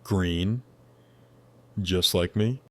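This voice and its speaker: A man voicing a wise angelic male spirit from another dimension, speaking in a measured, formal and gently curious way. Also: low-pitched, dry recording, studio quality, exaggerated voice. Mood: calm, friendly. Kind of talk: scripted dialogue.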